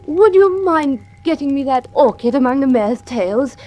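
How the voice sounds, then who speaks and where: An actress playing an anxious, secretive young gentlewoman in a radio drama, urgent and guarded. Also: sits high, animated, normal speed. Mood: guarded, polite. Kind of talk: classic radio drama acting.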